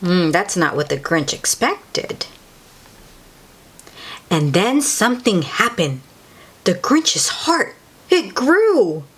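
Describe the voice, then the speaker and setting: Woman, children's story host. Very lively intonation, unhurried pace, exclaims between lines of the book. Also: mid-range voice, a little hiss or hum. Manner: animated, exclaiming, drawn-out words for effect. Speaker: children's read-aloud host. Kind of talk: expressive picture-book read-aloud with asides to young listeners.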